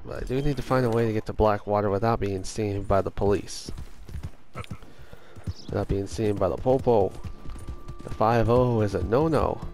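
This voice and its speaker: A young man with a hoarse voice chatting casually over his gameplay as a gaming host, swearing when things go wrong. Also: lowish voice, normal speed, darkish tone, a little hiss or hum. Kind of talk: casual let's-play commentary.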